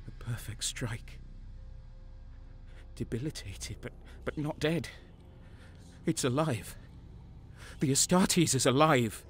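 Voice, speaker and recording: An actor playing a fierce, fanatical mutant renegade soldier in an intense audio drama style. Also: male voice, mid-range voice, slow pace, long pauses, lightly acted. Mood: awed, intense.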